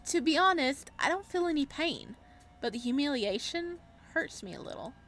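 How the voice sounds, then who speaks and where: A woman reads as a bullied male student from a horror visual novel. He is meek and polite, sweetly creepy, with a high-pitched voice for a young man. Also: expressive, moderate pace, a bit bright, a little hiss or hum. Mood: calm, eerie. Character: bullied male student, sweetly eerie, self-pitying, cannibalistic.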